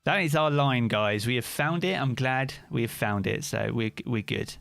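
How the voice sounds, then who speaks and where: A British man chats loosely with his viewers during a live stream, answering their questions by name. His voice sits at an ordinary middle pitch and is recorded dry and close. Soft music plays faintly underneath. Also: moderate pace, vocal fry, no room sound. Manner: chatty, relaxed, answers chat by name. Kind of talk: casual live-stream chat reading viewer comments.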